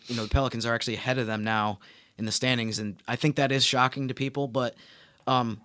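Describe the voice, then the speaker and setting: A man co-hosting a sports podcast as a reporter, giving casual analysis in a measured, halting way. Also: mid-range voice, a bit bright, no echo, studio quality. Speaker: team reporter and podcast co-host.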